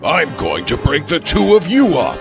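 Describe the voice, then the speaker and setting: Man playing a menacing Martian girlfriend, wildly swooping, gravelly. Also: on the high side, huge pitch swings, moderate pace, heavily acted. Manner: theatrical, threatening. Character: jealous bloated tentacled Martian girlfriend, menacing and possessive. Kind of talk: comic radio sci-fi drama acting. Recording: dull lo-fi audio under loud music.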